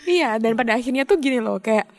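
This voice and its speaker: A woman's voice: fairly high pitch, lively intonation, a little fast. A young woman from Yogyakarta with a light Javanese-influenced Indonesian accent, co-hosting a podcast in a playful, teasing way. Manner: playful, teasing, laughs often. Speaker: podcast co-host. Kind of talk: casual podcast chat.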